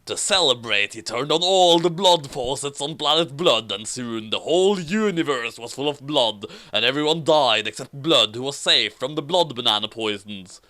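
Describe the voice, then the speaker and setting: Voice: mid-range voice, animated, moderate pace, few pauses, sharp tone. A person reading fan fiction aloud and commenting on it in a theatrical, mock-serious way. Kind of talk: dramatic amateur reading with casual commentary.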